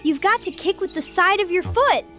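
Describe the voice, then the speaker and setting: A woman's voice: very high-pitched, lively intonation, moderate pace, pushed and bright, soft background music, exaggerated voice. A woman playing a bossy, defiant twelve-year-old boy in a film script table read.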